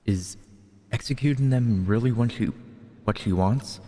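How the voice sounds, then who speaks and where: A man's voice: mid-range voice, unhurried, dark tone, in a large hall. A man playing through a video game, reading dialogue aloud and commenting casually. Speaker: gaming video creator.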